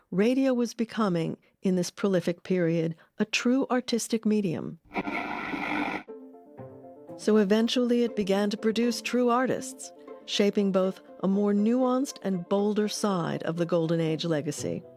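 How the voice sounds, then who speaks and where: Female documentary narrator: mid-range voice, measured, articulate, soft music underneath. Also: medium pitch. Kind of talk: scripted narration.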